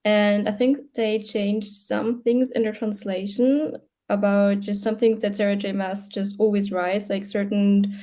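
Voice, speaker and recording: A woman's voice: mid-range voice, level tone, a little slow, warm tone. A woman whose first language is German, hesitantly sharing her thoughts in a book club call. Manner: hesitant, with frequent ums. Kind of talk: casual video call chat.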